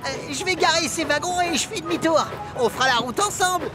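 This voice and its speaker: A woman's voice: high-pitched, brisk, lightly acted. A woman voicing an eager young boxcar engine. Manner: bubbly and excitable. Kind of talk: cartoon dub acting. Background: music.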